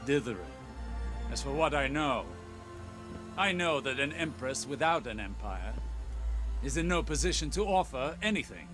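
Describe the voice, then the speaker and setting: Man playing a shrewd advisor over loud music. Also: medium pitch, animated, lightly acted. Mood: cutting. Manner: measured, cutting, wry. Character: shrewd, probing advisor to a military commander. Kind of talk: dramatic video game acting. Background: game music.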